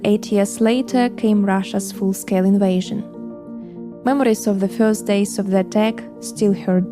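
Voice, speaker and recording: Ukrainian woman narrating over music. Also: medium pitch. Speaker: podcast host. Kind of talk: scripted narration. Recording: music bed behind voice.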